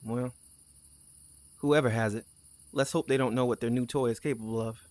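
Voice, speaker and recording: A man's voice: mid-range voice, fairly even intonation, moderate pace, a little mellow, no echo, close to an everyday voice. A man playing an easygoing, teasing, candid crewmate on a mission in a naturalistic audio drama, talking casually. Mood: alert. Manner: casual, conversational.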